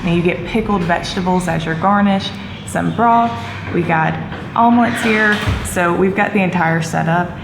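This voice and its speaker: A woman's voice: lowish voice, moderate pace, gravelly, over music. A woman in a hotel dining area chatting upbeat about breakfast for a travel vlog. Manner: chatty and upbeat, describing food. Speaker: vlogger. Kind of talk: casual vlog narration. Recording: echoey room with background noise.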